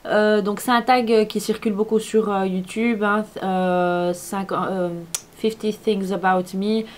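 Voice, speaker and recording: A woman's voice: mid-range voice, steady tone, a little slow. A woman, chatting to camera as a beauty vlogger, full of fillers and restarts. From Belgium. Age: 20s. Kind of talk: casual talk to camera. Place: apartment at home.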